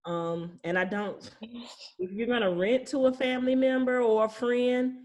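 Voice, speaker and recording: A woman's voice: fairly high pitch, fairly even intonation, normal speed, darkish tone, low-quality recording. A woman speaking as a guest property manager, explaining conversationally with anecdotes in a plain-spoken, folksy way.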